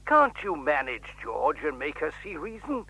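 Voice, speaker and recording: An actor playing a strict, stern uncle speaking firmly and finally over the telephone. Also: male voice, on the high side, big pitch swings, moderate pace, pushed and bright, no echo, heavily acted. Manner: firm and final. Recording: tinny, breathy telephone line.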